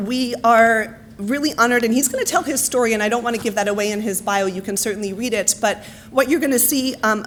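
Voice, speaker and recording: A woman with a hoarse, fading voice, giving friendly opening remarks at a conference with lots of ums. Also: medium pitch, a little hiss or hum. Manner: chatty, many ums, friendly housekeeping tone. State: hoarse, losing her voice. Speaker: foundation science officer introducing the keynote. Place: hotel ballroom.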